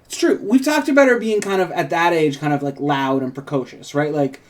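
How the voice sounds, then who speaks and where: A man's voice: a little high, a little fast. A man co-hosting a casual, unscripted talk about a script, rambling and hedging as he explains. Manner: rambling, hedging with frequent "like" and "kind of". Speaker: co-host and writer introducing a script read.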